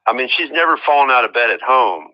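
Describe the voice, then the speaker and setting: A man's voice: medium pitch, expressive, no echo. Exasperated American man on a lo-fi phone line. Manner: halting, exasperated, repeating himself. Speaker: caller, relative of a patient. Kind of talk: phone conversation, complaint. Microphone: telephone. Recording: dull lo-fi telephone line.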